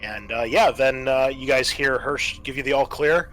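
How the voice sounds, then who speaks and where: A man with a bright, forceful voice is running a tabletop roleplaying game. He narrates off the cuff and explains the options in a casual, conversational way. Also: medium pitch, moderate pace, soft background music, poor audio. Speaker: game master.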